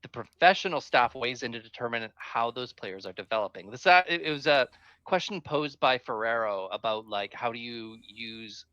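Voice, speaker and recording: A man's voice: medium pitch, expressive, moderate pace, sharp tone, no echo, muffled recording. A man co-hosting a casual podcast, reading news notes aloud and then chatting about them. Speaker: co-host.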